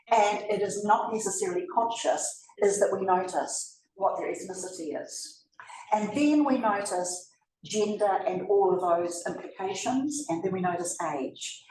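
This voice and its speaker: A woman's voice: medium pitch, slight background noise. New Zealand woman speaking in a roomy venue. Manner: conversational, lively, with asides to the audience. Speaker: presenter. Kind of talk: public talk to a live audience. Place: event room. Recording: roomy, from a distance.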